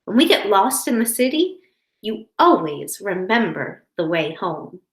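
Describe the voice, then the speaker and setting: A woman reading the part of a kind, reassuring storybook mother who speaks tenderly and deliberately to her child. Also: mid-range voice, sing-song. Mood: reassuring, loving. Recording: roomy, echoing indoor sound.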